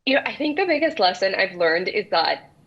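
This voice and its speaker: A woman's voice: mid-range voice, very animated, fairly quick, slightly rough. A woman from El Salvador, in her thirties, answering interview questions earnestly with frequent restarts. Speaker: guest founder. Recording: dull lo-fi sound.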